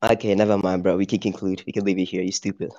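Blunt low-voiced debater on dull mic. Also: male voice, flat delivery, fast talker, a little mellow, no room sound. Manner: insistent, blunt, insulting. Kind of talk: heated voice-chat debate. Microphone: computer mic on voice call.

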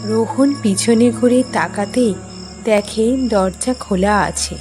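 A woman's voice: medium pitch, takes it slowly, a little mellow, soft background music. A woman narrating a scripted horror story as a storyteller.

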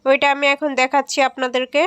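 A woman's voice: sits high, little pitch movement, quick pace, a bit bright, no echo. A woman hosting a casual step-by-step app tutorial. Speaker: tech tutorial host.

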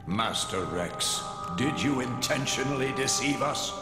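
An actor voicing a formal, stern tiger servant in a game, measured and reproachful, in an echoey recording with music behind. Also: male voice, mid-range voice, animated, natural voice. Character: formal, stern tiger beast, loyal servant to his lady.

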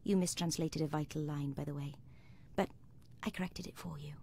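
A woman's voice: deep voice, steady tone, fairly quick, vocal fry, dark tone, slight background noise. An actress playing a cool, aloof scholarly woman in a radio drama, dry and cutting. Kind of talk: British radio drama acting.

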